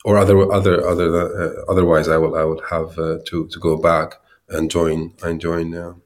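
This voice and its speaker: A man from Syria, a startup founder in his thirties, answering interview questions with many pauses and restarts. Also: deep voice, level tone. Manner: hesitant, many fillers and restarts.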